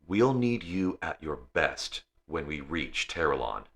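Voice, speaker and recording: A man's voice: rumbling low, big pitch swings, takes it slowly, a bit bright, exaggerated voice. A man voicing a loyal, concerned and supportive male starship crewmate in an audiobook story. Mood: caring, reassuring.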